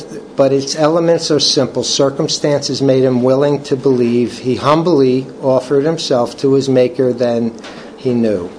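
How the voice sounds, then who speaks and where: An older man leading a recovery study group, reading from the book and sharing personal testimony in a halting, earnest way with many restarts. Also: medium pitch, a little mellow, a little hiss or hum, rough recording. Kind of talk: casual talk reading from a book.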